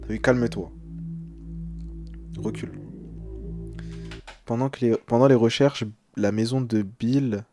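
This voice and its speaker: A man's voice: mid-range voice, fairly even intonation, slow pace, long pauses, warm tone, faint music behind. A young man speaking as a streamer in casual live reaction, translating text aloud with slangy, hesitant, uneasy commentary.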